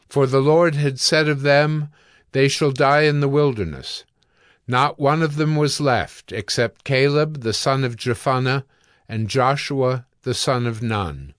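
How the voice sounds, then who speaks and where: Slow, measured male scripture narrator. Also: medium pitch, smooth, no echo, clean studio sound. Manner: measured, formal.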